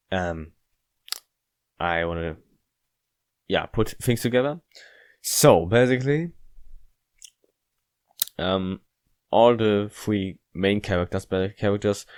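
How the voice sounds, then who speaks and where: Low-voiced, halting man commentating on a game. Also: no echo. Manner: halting, searching for words. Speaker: let's play host.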